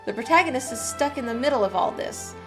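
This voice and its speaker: Casual woman streamer, fairly high voice. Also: animated, quick pace, music in the background. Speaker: game streamer. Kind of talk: live game playthrough, reading aloud and commenting.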